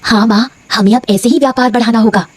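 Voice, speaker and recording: A woman voicing a brash sweet-shop woman in an animated Hindi moral story, with an exaggerated, expressive delivery. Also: on the high side, brisk, breathy edge, no room sound. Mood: gloating. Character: brash, gloating sweet-shop women and puzzled village wife.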